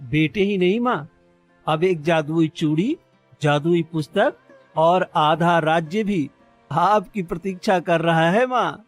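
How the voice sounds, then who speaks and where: A man's voice: fairly high pitch, slow pace, soft background music. A man voicing a brave, kind-hearted young hero in a cartoon story, earnest and emphatic in his delivery. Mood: proud, tender. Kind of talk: Hindi cartoon story voice acting.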